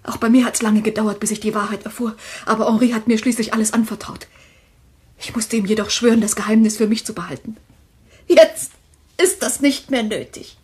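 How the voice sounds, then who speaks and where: An actress playing a distraught, stubborn young-widowed woman in a German thriller radio play, husky and breathy. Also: fairly high pitch, a little fast, darkish tone. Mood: agitated, insistent.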